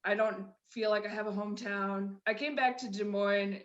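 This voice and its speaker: A woman's voice: mid-range voice, steady tone, normal speed, lo-fi sound. A middle-aged woman from the US Midwest with a Midwestern accent, telling her own story candidly as a podcast guest, with frequent restarts. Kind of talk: personal storytelling in a conversation. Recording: roomy echo.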